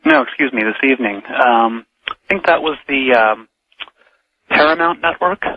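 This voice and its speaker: A man calling a radio show by telephone, talking haltingly with many filled pauses. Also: mid-range voice, dry recording. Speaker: radio phone-in caller. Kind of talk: casual phone-in comment. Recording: weak dull telephone line on radio.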